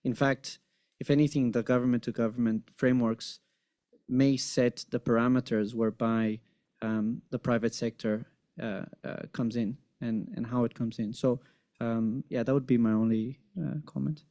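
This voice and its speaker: A man speaking as a panelist in a conference discussion, measured with frequent ums. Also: mid-range voice, flat delivery, mellow, no room sound.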